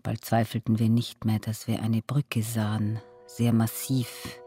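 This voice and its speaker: A man performing as a brooding narrator of an eerie journey, with deliberate, drawn-out phrasing in an experimental radio play. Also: fairly low pitch, monotone, mellow, faint music behind, natural voice. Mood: ominous, intent. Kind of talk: dramatic literary recitation in an experimental radio play.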